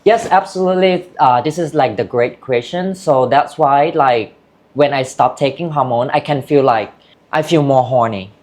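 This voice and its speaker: A woman's voice: a bass voice, normal speed, darkish tone. A woman from Thailand with a Thai accent, speaking candidly as an interview guest in loose, broken English. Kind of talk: casual interview chat.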